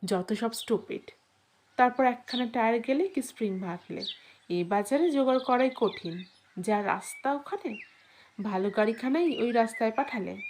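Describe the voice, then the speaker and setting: A woman's voice: on the high side, lively intonation, moderate pace, a little mellow, slight background noise, close to an everyday voice. A woman narrator voicing a bossy, irritable male contractor who gives stern orders in an audio story. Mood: irritated. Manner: stern, commanding. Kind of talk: audio storybook character dialogue.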